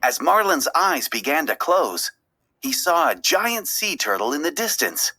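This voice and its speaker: Bright, animated woman reading a children's story. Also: deep voice, a little slow, husky. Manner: expressive, dramatic storytelling. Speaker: storybook reader. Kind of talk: scripted read-aloud narration of a children's story.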